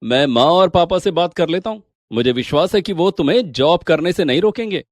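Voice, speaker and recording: A man playing a supportive, affectionate family man in a story, gentle and encouraging. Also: fairly high pitch, expressive, brisk, no echo, clean studio sound, close to an everyday voice. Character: supportive, affectionate husband or father.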